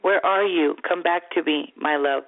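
A woman's voice: low-pitched, normal speed, no echo. A woman voicing a pleading, insistent young man in love in a romance story reading. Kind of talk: audiobook-style romance reading. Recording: tinny phone-like radio line.

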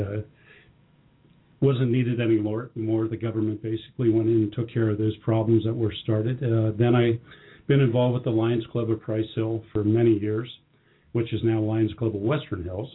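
A middle-aged or older man with a slightly husky voice, answering interview questions in a plain-spoken, affirming, conversational way. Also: on the low side, little pitch movement, normal speed. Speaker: company president, interview guest. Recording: muffled, band-limited lo-fi audio.

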